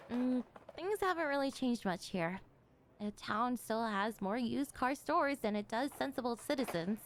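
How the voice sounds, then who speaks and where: A woman playing a slangy, affectionate, apologetic young skater in an ASMR roleplay, talking haltingly and emotively to an old friend. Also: a little high, a little hiss or hum. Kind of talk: ASMR roleplay acting, casual one-sided dialogue.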